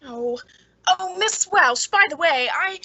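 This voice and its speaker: An actress playing an anxious, reclusive spinster with a hesitant, fretful delivery in an old-time radio suspense drama. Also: female voice, very high voice, animated, slow, sharp tone, no room sound, full character voice. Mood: nervous, hesitant. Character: anxious reclusive spinster, the town's queer one.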